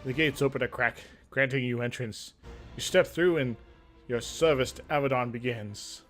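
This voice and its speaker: A man's voice: medium pitch, moderate pace, a bit bright, soft background music. A man hosting a gaming video, reading a fantasy game aloud theatrically and dropping into casual asides. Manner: theatrical storytelling broken by offhand asides. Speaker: gaming video host and narrator.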